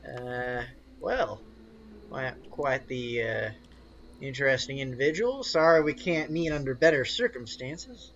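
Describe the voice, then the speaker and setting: A bearded, easygoing tavern keeper acted out by a man for a tabletop game. The voice is middling in pitch, plain and a little halting, polite throughout, on slightly dull audio. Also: crowd noise, lightly acted. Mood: polite, casual. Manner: hesitant, plain-spoken. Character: thick-bearded male human tavern barkeep, laid-back and polite.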